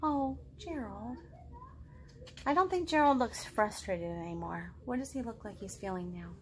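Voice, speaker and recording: A woman's voice: fairly high pitch, lively intonation, moderate pace, a little mellow, slight background noise. A woman in her thirties leading a children's storytime, asking simple questions as she talks to young listeners. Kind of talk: interactive picture-book storytime for children. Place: living room at home.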